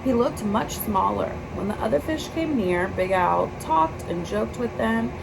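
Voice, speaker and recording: A woman's voice: mid-range voice, unhurried. A woman reading a children's picture book aloud in a storybook narration style. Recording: clear steady background noise.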